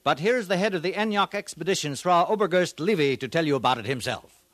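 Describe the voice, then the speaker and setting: Eager field reporter, bright forceful voice, very animated, 1950s radio play. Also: male voice, on the high side, fast, dry recording. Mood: excited. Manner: eager, dramatic announcing. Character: excitable male future radio correspondent reporting from a dig. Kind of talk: 1950s radio drama acting.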